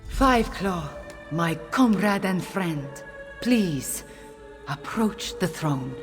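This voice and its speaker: A regal young catfolk queen from a fantasy game. She speaks slowly in a lowish register, earnest and solemn, with music playing behind her. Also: lively intonation. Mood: solemn, proud. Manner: measured, earnest, formal speech. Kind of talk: scripted video game voice acting.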